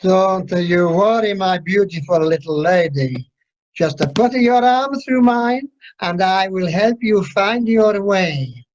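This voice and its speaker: A man playing a gallant, flirtatious helper on a cruise ship in an amateur radio drama, over a dull video call. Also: sits high. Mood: gallant, soothing. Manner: courteous, unhurried.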